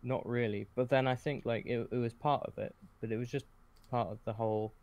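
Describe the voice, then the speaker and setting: A man's voice: mid-range voice, fairly even intonation, a little fast, darkish tone. A man chatting casually as a podcast guest, hesitant and often correcting himself as he goes. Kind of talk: casual conversation.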